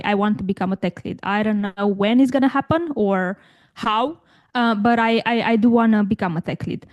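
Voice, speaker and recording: A woman's voice: on the high side, a little mellow, muffled recording. A woman from Romania in her thirties, a guest on an interview podcast, answering thoughtfully with frequent restarts and fillers. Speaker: guest, tech career coach.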